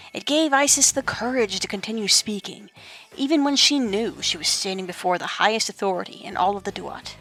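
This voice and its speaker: A woman's voice: mid-range voice, fairly bright tone, poor audio. A woman giving dramatic scripted narration as the narrator of an audio drama.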